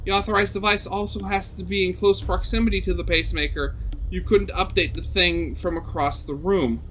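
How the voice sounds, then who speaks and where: A man reading a visual novel aloud as expressive narration, dramatic, with brief conversational asides. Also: sits high, a little fast, a little hiss or hum. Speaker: video game let's player reading a visual novel aloud.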